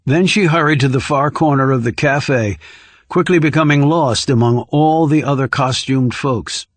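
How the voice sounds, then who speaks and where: A man's voice: medium pitch, moderate pace, dry recording. A man reading scripted thriller narration as an audiobook narrator.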